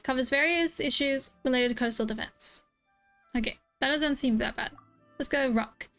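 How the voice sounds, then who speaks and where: Female game streamer, high voice, casual reactions and read-aloud passages, faint music behind. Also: fairly bright tone. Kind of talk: game playthrough reaction, reading dialogue aloud.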